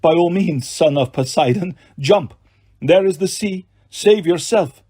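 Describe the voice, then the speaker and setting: A man's voice: on the high side, unhurried, warm tone, husky. A man voicing a menacing, smug monster villain who talks in a formal, taunting way for a story. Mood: smug, threatening.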